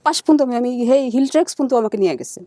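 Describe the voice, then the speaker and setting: Female guest, Bangladeshi accent, slightly high voice, very rapid but halting personal storytelling, dry close recording. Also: expressive, warm tone, no echo. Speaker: guest searching for birth family. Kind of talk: emotional personal recounting in conversation.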